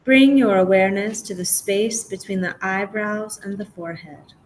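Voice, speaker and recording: A woman guiding a relaxation meditation as a yoga nidra teacher, giving gentle, measured instructions with pauses. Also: medium pitch, slight background noise, low-quality recording.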